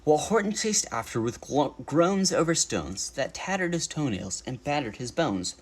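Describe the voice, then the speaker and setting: A man reading a children's storybook aloud in an animated narration style, as a bedtime story livestreamer. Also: a little high, moderate pace, husky.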